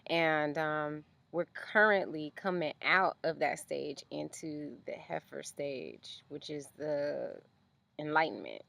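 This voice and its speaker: Slow, low-voiced young woman vlogging outdoors. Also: lively intonation, creaky, fairly bright tone. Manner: loose, chatty, trailing off with ums. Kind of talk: casual explanatory vlog. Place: outdoors at night.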